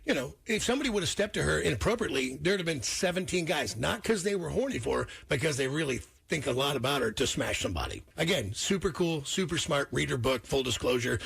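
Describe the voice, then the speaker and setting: A man's voice: a little high, animated, quick pace, gravelly, few pauses, a bit bright, husky. A man hosting a radio morning show, bantering casually in a rambling, earnest, blunt way.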